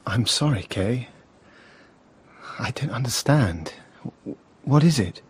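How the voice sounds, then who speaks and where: An actor playing a gentle, puzzled brother in a period radio play. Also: male voice, medium pitch, expressive, takes it slowly, husky, exaggerated voice. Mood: puzzled, apologetic.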